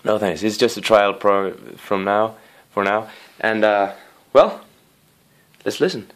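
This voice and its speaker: A man giving a casual hands-on video review in a living room, rambling with apologetic asides and a playful, excitable streak. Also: lowish voice, takes it slowly. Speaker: amateur gadget reviewer. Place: living room with wooden floor and rug.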